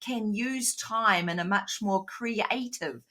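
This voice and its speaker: Kiwi woman, co-host, a touch nasal, lively and chatty, mid-pitched. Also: slow. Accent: New Zealand. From New Zealand. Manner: animated, frequent restarts, acts out quoted voices. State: slightly nasal from hay fever. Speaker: podcast co-host. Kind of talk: casual conversational chat.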